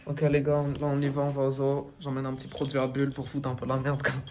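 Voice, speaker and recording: A man's voice: medium pitch, completely flat delivery, fast talker. Playful male vlogger over background noise. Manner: playful, mischievous. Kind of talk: casual vlog chat.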